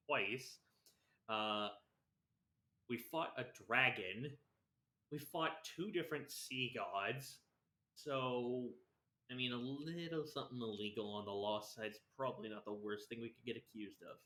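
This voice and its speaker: A young man comments casually on a game as he streams. His mid-pitched voice is bright and forceful, with loose, sometimes sweary phrasing and clear room echo. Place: indoor room. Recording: echoey room.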